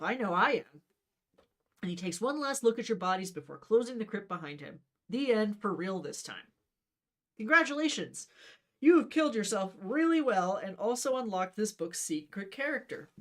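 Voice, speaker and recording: A woman's voice: medium pitch, big pitch swings, normal speed. A woman reading a story aloud on a livestream in a wry, conversational way, mixed with casual chat.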